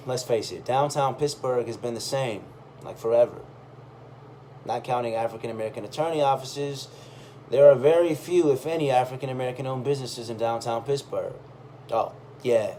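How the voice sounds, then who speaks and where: A man reading a first-person essay aloud in an expressive, conversational storytelling way, with dramatic pauses and emphasis. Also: medium pitch, a little hiss or hum.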